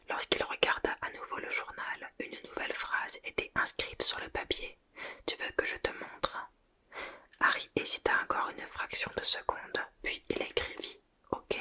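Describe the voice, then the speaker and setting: A woman reading a fantasy novel aloud in a steady whispered ASMR style. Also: very high-pitched. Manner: steady, soft whisper throughout. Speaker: audiobook reader.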